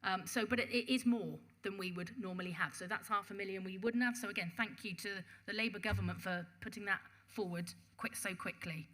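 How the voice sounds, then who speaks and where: Woman, bright voice, formal, measured, reading figures, council meeting. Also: mid-range voice. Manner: measured, reads out figures, occasional restarts. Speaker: police and crime commissioner presenting a budget. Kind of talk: formal address to a council panel.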